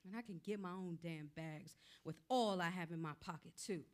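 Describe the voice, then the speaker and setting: A woman's voice: on the low side, normal speed, natural voice. A woman playing a hurt, proud, angry young woman in a staged play reading, colloquial and confrontational.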